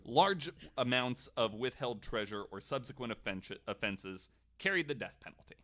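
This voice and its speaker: A man's voice: mid-range voice, animated, moderate pace, bright, forceful tone. A man hosting a podcast, casually telling a story from notes with wry, jokey asides.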